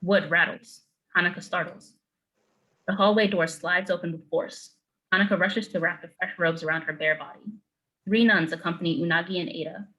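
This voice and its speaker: A woman narrates a screenplay's stage directions. Her voice sits a little low but has a very bright, forward tone. She reads matter-of-factly in clipped phrases. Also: steady tone, a little fast, muffled recording.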